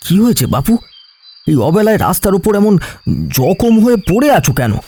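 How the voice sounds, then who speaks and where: A man playing a middle-aged village gentleman in a horror audio story, grave and fatherly, speaking slowly with pauses. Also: sits high, big pitch swings, slight background noise. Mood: anxious concern. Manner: slow, grave, pausing. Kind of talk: dramatic Bengali horror audio story acting.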